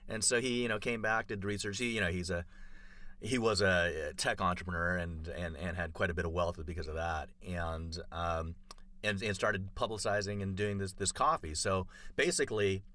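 Low bright-voiced man telling a rambling story. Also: normal speed, no echo. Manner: rambling storyteller, many fillers and restarts. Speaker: podcast co-host. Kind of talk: casual chat.

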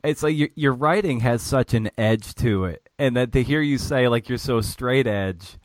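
A man's voice: mid-range voice, dry recording. A man hosting a podcast interview, asking long questions with many restarts. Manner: halting, many restarts and fillers. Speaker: podcast host.

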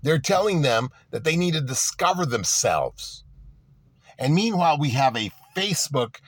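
A man's voice: fairly high pitch, big pitch swings, moderate pace, no room sound, low-quality recording. A man delivering a political monologue to his audience, sarcastic and full of rhetorical questions. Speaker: political commentator.

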